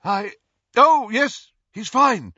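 A man voicing a kindly, awkward man in an audiobook who hastily tries to smooth things over. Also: fairly high pitch, sing-song, normal speed, no echo, dull audio, pitched above the performer's own voice. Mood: startled, over-bright. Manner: hasty, over-bright.

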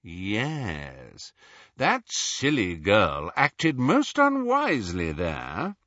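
A male narrator performs a sneering old professor living in a portrait, husky and swooping in pitch. He speaks with formal, indignant pomposity, as in a storybook read aloud. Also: wildly swooping pitch, a little slow, sharp tone, dry recording, highs cut off, heavily acted. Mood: haughty, demanding.

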